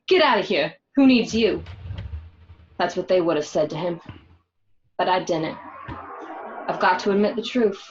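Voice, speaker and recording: A woman's voice: on the low side, lightly acted. A woman playing a gangster's young girlfriend in a radio drama, candid and emotional. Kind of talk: amateur radio drama acting. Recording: dull lo-fi home recording.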